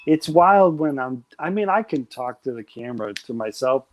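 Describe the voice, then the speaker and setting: A man hosting a live stream late at night talks in a casual, rambling way. His voice sits at an everyday middle pitch. He sounds enthusiastic and often restarts his sentences. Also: lively intonation, moderate pace. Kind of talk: casual chat.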